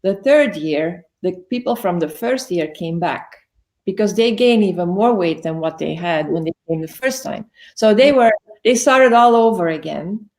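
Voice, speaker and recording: A woman's voice: fairly low pitch, lively intonation, moderate pace, a little mellow. A woman, telling her life story as an interview guest in a chatty way, often correcting herself. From Belgium. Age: 50s. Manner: chatty, self-correcting, many "like" fillers.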